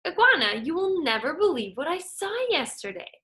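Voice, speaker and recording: A woman's voice: high-pitched, big pitch swings, moderate pace, pushed and bright, pitched above the performer's own voice, exaggerated voice. A woman voicing a chatty, boastful little mosquito in a storybook read-aloud.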